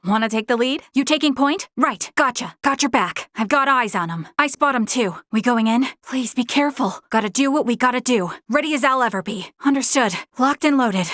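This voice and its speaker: Young game heroine, high animated voice, earnest and determined soldier, spirited crisp call-outs, dry studio sound. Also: female voice, fairly quick, few pauses, dry recording. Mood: brisk, ready. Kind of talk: video game battle voice lines.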